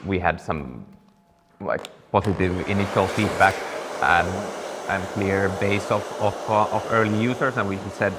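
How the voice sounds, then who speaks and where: A young man from Finland, chatting casually in a barber shop and pausing to find his words. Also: sits low, background noise. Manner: thoughtful, searching for words. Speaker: guest, business partner. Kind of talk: casual conversation. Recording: reverberant room, faint ambience.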